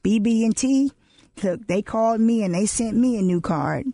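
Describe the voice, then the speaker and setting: A woman's voice: on the high side, moderate pace, dark tone, no room sound. A woman in her forties co-hosting a radio talk show, emphatic, repeating key words and laughing often. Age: 40s. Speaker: radio co-host. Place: radio studio.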